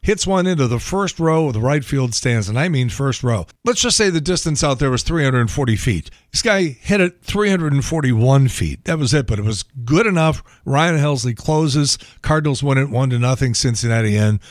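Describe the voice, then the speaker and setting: Podcast host: American male, gravelly and thinning mid-range voice, wry casual monologue, dry close sound. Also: medium pitch, animated, normal speed, hardly any pauses, dry recording. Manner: wry, colloquial, profane. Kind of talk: casual solo monologue on news and sport.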